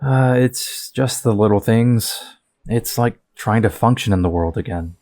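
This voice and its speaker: A man plays a downcast young male hero in an audio drama. He talks hesitantly and awkwardly, in a fairly low voice. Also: a little slow, a little mellow.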